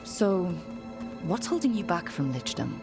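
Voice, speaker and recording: An actress playing a curious, plain-spoken adventurer heroine in a fantasy video game, direct and conversational. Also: female voice, on the low side, very animated, a little slow, creaky, exaggerated voice. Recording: game music playing behind.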